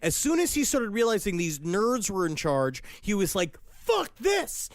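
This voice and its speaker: A man's voice: high voice, sing-song, normal speed, no echo. A man co-hosting a comedy podcast, joking with profanity and laughing often. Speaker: podcast co-host. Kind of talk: casual comedy banter.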